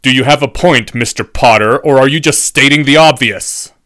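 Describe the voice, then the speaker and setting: A man's voice: medium pitch, expressive, moderate pace, a bit bright, dry recording, studio quality, natural voice. Man acting menacing, biting sardonic professor. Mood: furious, contemptuous. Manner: biting, sarcastic, formal.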